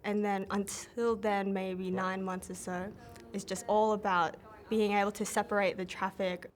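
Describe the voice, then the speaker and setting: A woman's voice: medium pitch, level tone, moderate pace. A young woman with an Australian accent, matter-of-factly explaining her air traffic controller training in an interview. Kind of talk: interview answers.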